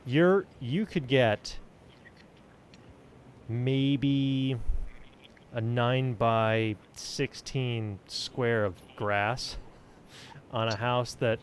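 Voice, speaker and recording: A man in casual conversation, animated and blunt, given to swearing and joking exaggeration. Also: mid-range voice, extremely slow, pauses often, a little hiss or hum. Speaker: stream co-host, narrator. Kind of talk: casual chat.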